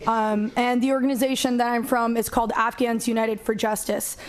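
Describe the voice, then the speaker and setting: A woman in her twenties from Canada, speaking earnestly as a seated panelist and pausing often with "um". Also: on the high side, level tone. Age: 20s. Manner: earnest, many "um" fillers.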